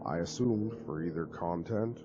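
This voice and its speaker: A man giving a dramatic reading of a horror story, telling it in an expressive, conversational way. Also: deep voice, normal speed, lo-fi sound, music in the background. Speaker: narrator.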